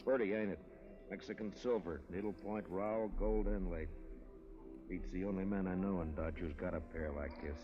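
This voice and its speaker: A man playing a deep-voiced frontier marshal. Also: steady tone, moderate pace, long pauses, highs cut off, crowd noise, lightly acted. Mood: probing. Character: stern frontier lawman, grown man. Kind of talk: 1950s radio drama acting.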